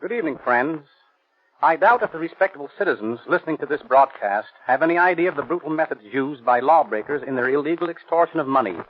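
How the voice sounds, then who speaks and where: An American man gives a formal address as a police captain. He reads stiffly and sternly in a slightly high voice. The old radio recording is dull, with light noise. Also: animated, fast. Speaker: police captain, guest speaker. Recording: muffled noisy 1930s radio recording.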